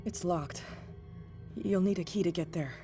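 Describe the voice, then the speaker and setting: A woman's voice: lowish voice, measured pace, over music, lightly acted. An actress playing a tough, terse woman who is wounded, weakened and in pain, in a video game. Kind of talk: video game cutscene acting.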